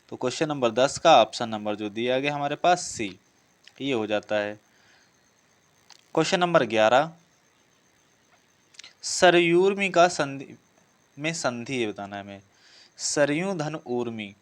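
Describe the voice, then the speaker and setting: A man giving an instructional lesson, working through practice questions and repeating each before answering it. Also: on the high side. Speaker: teacher presenting exam practice questions.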